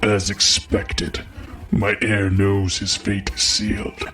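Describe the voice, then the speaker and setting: Grave warlord villain, ominous, very slow flat delivery, music underneath. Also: male voice, on the low side. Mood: cold, commanding. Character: menacing, solemn villain father, a warlord master. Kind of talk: scripted audio drama acting.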